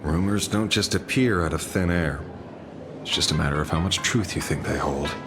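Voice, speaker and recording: Very deep-voiced, unruffled investigator. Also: male voice, normal speed. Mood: calm, wry. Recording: voice over loud music.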